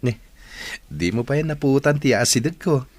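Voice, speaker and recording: A man plays a caring young boyfriend in an audio drama. His voice sits at middle pitch, attentive and concerned, with faint noise and clicks behind. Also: normal speed, a little mellow, natural voice. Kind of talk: Filipino radio drama acting.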